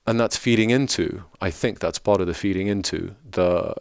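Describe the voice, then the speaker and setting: A man's voice: sits low, normal speed, vocal fry, smooth, dry recording, studio quality. A middle-aged man from of Lebanese background, talking earnestly as a spiritual mentor on a podcast, often restarting his sentences. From Lebanese, grew up in London. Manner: thoughtful, earnest, frequent restarts. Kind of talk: reflective podcast conversation.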